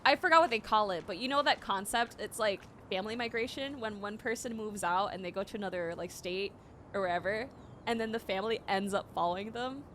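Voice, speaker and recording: A woman streamer giving casual, expressive reaction commentary, thinking aloud in informal speech as she plays. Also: medium pitch, brisk, slight background noise. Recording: game music clearly behind voice. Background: video game music and dialogue.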